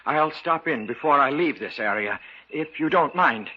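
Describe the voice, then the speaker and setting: Strange, sharp-voiced, high-pitched polite man. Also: animated, normal speed. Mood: insistent, eerie. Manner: odd, formal, courteous speech. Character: strange, polite, anxious man seeking lost doll. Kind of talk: 1940s radio horror acting. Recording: muffled, lightly noisy old radio recording.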